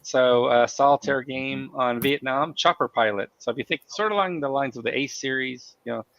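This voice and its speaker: A man with a cold hosting a live company stream, talking in halting bursts full of fillers and restarts. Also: medium pitch, fairly bright tone, no echo, highs cut off. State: has a cold, losing his voice. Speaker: host of company town hall stream.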